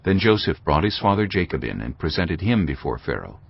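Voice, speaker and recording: Very deep, gravelly male narrator. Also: sing-song, fairly quick. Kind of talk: dramatized scripture narration. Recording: dull lo-fi audio. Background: faint music.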